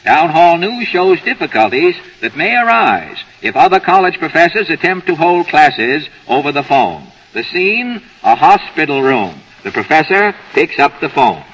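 A male announcer reading mock news bulletins in 1930s radio style, with a crisp, formal newsreel delivery. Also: on the high side, very animated, normal speed, hardly any pauses, a bit bright, dull audio, slight background noise. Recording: old radio broadcast with hall echo.

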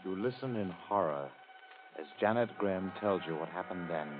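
A man's voice: low-pitched, normal speed, natural voice. A man playing a grave, dramatic narrator of a crime story in old-time radio style. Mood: grave, ominous. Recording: muffled old radio recording. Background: dramatic music.

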